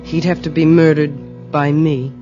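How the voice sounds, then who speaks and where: Calculating wife for vintage radio suspense: controlled, quietly persuasive, lo-fi archive sound. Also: female voice, deep voice, flat delivery, a little slow. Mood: cold, resolved. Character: cool, calculating wife secretly plotting her husband's murder. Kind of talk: 1940s radio acting, suspense narration. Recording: dull vintage radio recording with music.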